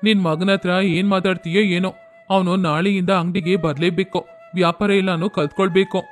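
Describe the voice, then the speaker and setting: A stern, worried merchant father from a cartoon tale, voiced by a man. He lectures in a firm tone, and his voice sits high for a man. Faint music plays behind the scene. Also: fairly even intonation, fairly quick, close to an everyday voice.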